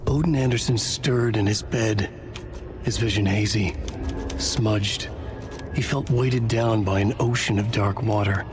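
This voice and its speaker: A man acting as a brooding male narrator for a horror game, speaking in a low voice over prominent music. The delivery is solemn and measured, like scripted noir storytelling. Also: steady tone, natural voice. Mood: brooding, ominous. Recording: music behind the voice.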